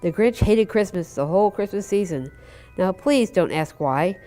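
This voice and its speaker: A woman's voice: mid-range voice, lively intonation, normal speed, warm tone, a little hiss or hum. A woman reading a children's story aloud expressively, over music. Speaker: library staff member reading aloud. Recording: music under the voice.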